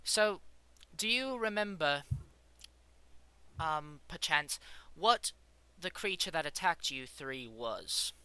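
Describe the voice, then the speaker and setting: A man voicing a nervous, fussy male doctor who frets aloud in a high, excitable voice. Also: takes it slowly, long pauses, clear, resonant tone, no room sound, heavily acted, poor audio. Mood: fretful. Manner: anxious, fretful, high excitable voice.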